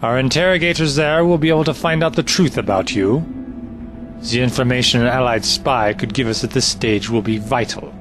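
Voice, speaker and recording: An actor playing a stern German officer, clipped and commanding with formal phrasing. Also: male voice, medium pitch, fairly quick, natural voice. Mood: cold. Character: stern male German officer, cold and suspicious. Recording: audio drama with music underneath.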